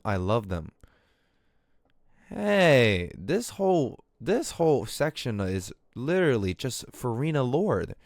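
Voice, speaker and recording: A man's voice: mid-range voice, a little mellow, no room sound, clean studio sound. A man livestreaming a game, reading in-game text aloud and commenting in a casual, slangy way. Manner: casual, slangy, mild swearing. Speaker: streamer.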